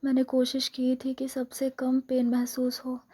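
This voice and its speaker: A woman acts out a possessive, scheming vampire girlfriend in a romantic ASMR role-play. Her Indian-accented voice is breathy and soft, often dropping into a whisper. She speaks slowly and keeps the melody very even, tenderly coaxing and lingering on repeated phrases. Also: high-pitched. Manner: intimate, coaxing, lingering repetitions.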